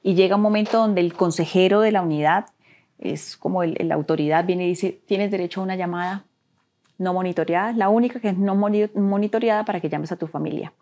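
Earnest Colombian woman, hushed and halting. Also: on the low side. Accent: Colombian Spanish. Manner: earnest, halting, repeats words, drops to near-whisper at heavy moments. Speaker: interview guest. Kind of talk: emotional personal storytelling.

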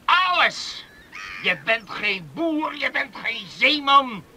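A man's voice: extremely high pitch, animated, takes it slowly, bright, forceful tone, exaggerated voice. An older actor playing a stern, angry island father in a radio drama, curt, commanding and scolding. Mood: angry, scornful.

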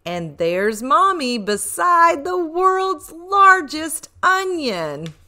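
A woman reading a rhyming children's picture book aloud with expressive, rhythmic delivery. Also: sits high, extremely slow, over loud music.

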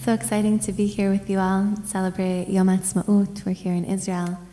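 A woman's voice: medium pitch, completely flat delivery, normal speed, warm tone. A singer-songwriter woman casually and cheerfully introducing a song from her home studio. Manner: casual and upbeat.

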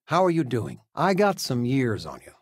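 Retired teacher dad for a game: older man, lively intonation, husky, fond and gently joking, dry close sound. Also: medium pitch, moderate pace, no echo. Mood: warm, reassuring. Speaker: voice actor. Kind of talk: video game character dialogue.

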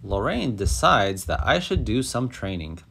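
A man reading a children's picture book aloud as an expressive, dramatic storyteller. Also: fairly low pitch, measured pace, creaky, breathy edge.

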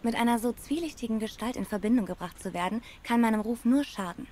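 An actress playing a poised, sharp-tongued young cat tennis star in a noir game, cool and sardonic. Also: female voice, fairly high pitch, fast talker, close to an everyday voice. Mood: sardonic, guarded. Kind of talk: cinematic noir game acting.

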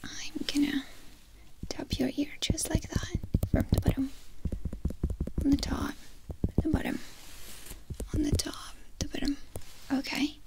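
Young female ASMR artist, whispered doctor roleplay; high, breathy delivery, soft short instructions, close-miked. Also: little pitch movement, unhurried, pauses often, low-quality recording. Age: young adult. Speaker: ASMR performer playing a doctor. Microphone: close ASMR microphones.

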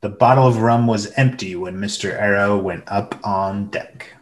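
A man's voice: on the low side, fairly even intonation, moderate pace, close to an everyday voice. A man playing a storyteller who recounts a seafaring boyhood in scripted radio play narration. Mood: recounting.

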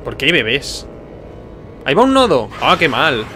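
A man's voice: medium pitch, sing-song, normal speed, music in the background. A man from giving casual gameplay commentary, musing aloud informally. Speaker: video game streamer. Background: video game sound.

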